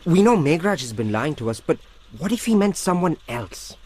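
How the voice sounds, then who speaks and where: An actor with an Indian accent playing an earnest, dryly sarcastic young assistant to a detective in a radio drama. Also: male voice, fairly high pitch, sing-song, normal speed, darkish tone. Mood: suspicious.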